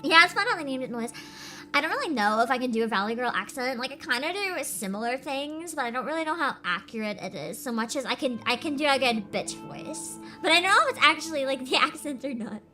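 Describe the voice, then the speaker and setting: A woman's voice: high-pitched, animated, fast, bright, forceful tone, faint music behind. A young woman streamer commenting on a game with giggly, sarcastic chatter.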